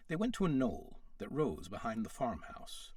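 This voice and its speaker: Male storyteller, expressive varied pitch, scripted storybook narration, slightly distant mic. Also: normal speed, dry recording, studio quality. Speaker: storyteller, audiobook reader.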